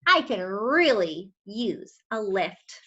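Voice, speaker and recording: A woman voicing an excited, polite and plucky little girl in a children's storybook, speaking with eager emphasis. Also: mid-range voice, takes it slowly, husky, muffled recording, pitched below the performer's own voice, full character voice. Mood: hopeful. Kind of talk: children's storybook read-aloud.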